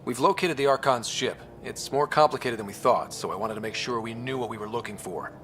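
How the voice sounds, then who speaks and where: An actor playing an earnest young space explorer and leader in a sci-fi game, casual but resolute. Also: male voice, medium pitch, fairly quick, fairly bright tone, faint music behind, natural voice. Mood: determined. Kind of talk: cinematic sci-fi game acting. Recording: clear background ambience noise.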